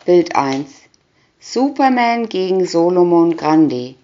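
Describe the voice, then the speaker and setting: A woman's voice: on the low side, very animated, slow, dark tone, highs cut off. A woman doing a scripted reading of a children's picture booklet, announcing short captions one by one. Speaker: reader.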